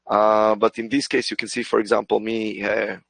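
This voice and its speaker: A man's voice: on the low side, little pitch movement, normal speed, vocal fry, fairly bright tone, no echo. A man presenting a webinar, explaining product tools with many hesitations and fillers, while losing his voice. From Israel. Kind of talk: presentation walkthrough.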